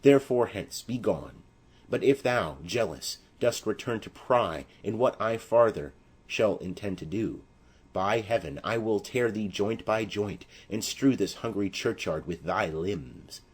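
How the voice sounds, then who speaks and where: A desperate young banished lover from a classical tragedy, played by a male reader. He declaims in a low, husky voice, grave and menacing, with an unhurried, savage intensity. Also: lively intonation. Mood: urgent, threatening. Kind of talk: classical tragedy audiobook acting.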